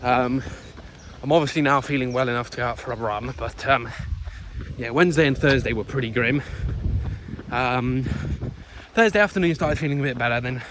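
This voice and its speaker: A man's voice: mid-range voice, normal speed, noisy background. A young man, giving frank, easygoing video diary updates to a handheld camera. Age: young adult. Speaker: student vlogger.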